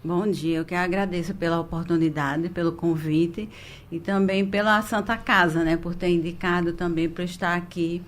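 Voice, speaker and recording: Woman teacher, low voice, soft-spoken, polite, a bit halting, radio guest. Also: fairly even intonation. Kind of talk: radio studio debate.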